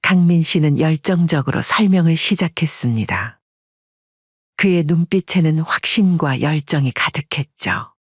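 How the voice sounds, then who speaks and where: A woman reads a family drama aloud with a steady storytelling tone and a breathy voice. The recording is dry and somewhat dull, without room sound. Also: low voice, normal speed, dry recording. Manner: even, storytelling delivery. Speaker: narrator. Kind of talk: scripted story narration.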